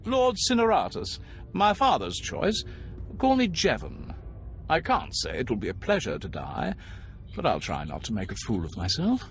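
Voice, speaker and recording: Polite, self-deprecating young male apprentice, very animated pitch and creak, game scene with faint ambient noise. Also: normal speed. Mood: resigned. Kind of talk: video game cutscene acting.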